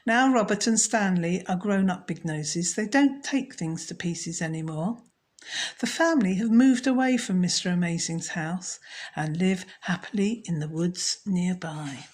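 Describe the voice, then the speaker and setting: A woman storyteller narrating a children's story in a measured, scripted read. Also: lowish voice, darkish tone.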